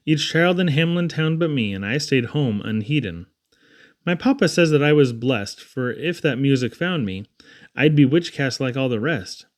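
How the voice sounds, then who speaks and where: Man reading children's verse theatrically. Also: mid-range voice. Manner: theatrical, storytelling delivery with dramatic emphasis. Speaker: reader. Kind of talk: expressive poetry reading for children.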